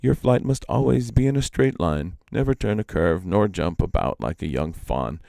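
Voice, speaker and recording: Man doing a stern, creaky sparrow magician. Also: low-pitched, lively intonation, mellow, dry recording, clean studio sound. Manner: slow, stern, instructing. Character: stern male sparrow magician teaching a pupil.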